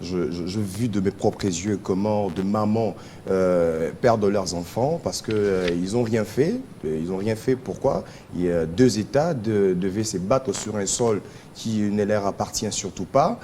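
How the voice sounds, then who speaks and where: A Congolese man speaks as a charity guest on a television show. His voice is deep and dark, and he moves haltingly, searching for words. A slight low noise sits under the studio recording. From Kisangani, DR Congo. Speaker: charity association representative, TV guest. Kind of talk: spoken interview answers.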